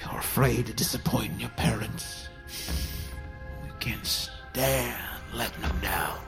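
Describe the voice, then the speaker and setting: An actor playing a sinister, gleeful horror host with a gravelly voice, savoring his words in theatrical narration with menacing courtesy. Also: mid-range voice, slow. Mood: gleeful menace. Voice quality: gravelly, creaky. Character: sinister, gleeful male horror tale host who relishes fear. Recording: loud music bed behind the voice.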